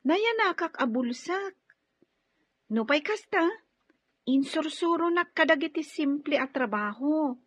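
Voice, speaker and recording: A meek young woman in a radio drama, with a very high voice and slow, hesitant delivery. She sounds respectful and grateful. The audio is dry and slightly dull. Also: expressive, a bit bright, no room sound. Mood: earnest. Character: timid, grateful young blind orphan woman. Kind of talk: Ilocano radio drama acting.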